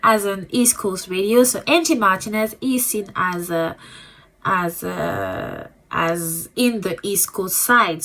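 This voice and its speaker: A woman with a French accent giving a casual, rambling book review as a booktuber, repeating words and filling pauses with ums. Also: mid-range voice, a bit bright, a little hiss or hum, rough recording.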